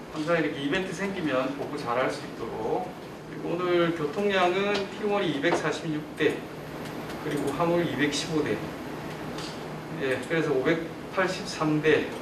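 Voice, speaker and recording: A man speaking informally as a senior air traffic controller explaining the job. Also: fairly high pitch.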